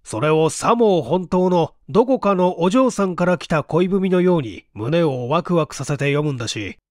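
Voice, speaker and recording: An eager, smug writer from a storybook, acted by a man, rambles through his explanations. His pitch swings widely, and the sound is close and dry. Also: expressive, normal speed, no echo, lightly acted. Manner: self-satisfied, explanatory, rambling.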